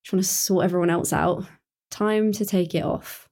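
A woman's voice: on the low side, steady tone, moderate pace, gravelly, a little mellow. An actress playing Emma, a caring, teasing teenage schoolgirl in a radio drama, speaking in an earnest, coaxing way. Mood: warm.

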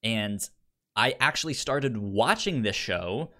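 A man co-hosting a podcast in relaxed conversation. His voice is a little low. He stutters, repeating sounds and short words as he goes. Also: slow pace. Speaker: podcast co-host. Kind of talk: casual chat.

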